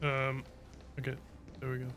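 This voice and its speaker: A man's voice: medium pitch, monotone, normal speed, a bit bright. A man giving casual game reaction commentary in muttered, wry asides. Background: game music.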